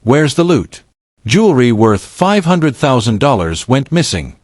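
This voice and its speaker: A man's voice: mid-range voice, sing-song, unhurried, darkish tone, no echo, clean studio sound. A man delivering a dramatic scripted voice-over, teasing and theatrical, posing rhetorical questions. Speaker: video narrator. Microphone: close, dry voice-over recording.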